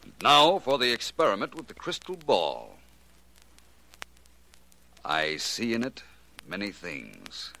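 Suave male sleuth, 1940s radio drama: crisp bright tone, animated intonation, polite but in command. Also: on the low side, slow, pauses often, exaggerated voice, low-quality recording. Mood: probing, assured. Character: suave, self-assured male private detective laying traps. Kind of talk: 1940s radio mystery acting. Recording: vintage 1940s radio recording.

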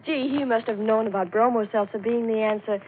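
A woman's voice: on the high side, fairly quick, a little hiss or hum. A woman doing scripted 1940s radio commercial banter, folksy and eager, on a tinny old recording. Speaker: commercial co-announcer.

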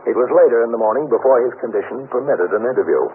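A man's voice: mid-range voice, lively intonation, quick pace, narrow, tinny audio, natural voice. An American man playing a businesslike precinct captain in a 1950s radio police drama, matter-of-fact and clipped. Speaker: narrator and lead actor. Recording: thin, band-limited 1950s radio recording, noisy.

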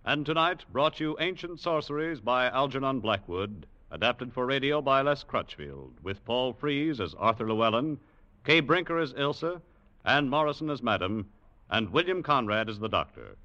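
Bright, forceful vintage radio announcer man. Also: mid-range voice, animated, moderate pace. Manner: formal, polished. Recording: muffled vintage radio broadcast.

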